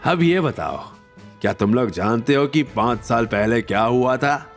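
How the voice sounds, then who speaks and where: A man's voice: mid-range voice, lively intonation, faint music behind. A man voicing a short, boastful veteran underworld hitman with a swaggering, threatening manner in an audio drama. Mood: menacing, scornful. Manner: swaggering, drawn-out threats with repeated catchphrases.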